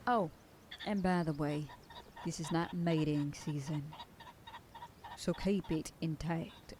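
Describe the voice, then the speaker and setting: A woman's voice: deep voice, slow pace, darkish tone, slight background noise. A woman voicing a bossy female werewolf sheriff who lectures her pack in an ASMR roleplay, sometimes dropping to a whisper. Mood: stern warning. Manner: stern, commanding, lectures a group. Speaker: voice actor.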